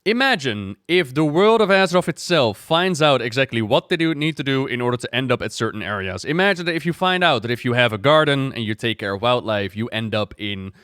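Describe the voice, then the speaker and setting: A man with a clear, smooth voice of average pitch gives casual game reaction commentary. He thinks aloud like a streamer chatting to viewers, recorded dry and close. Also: lively intonation, fairly quick, few pauses, fairly bright tone, no echo.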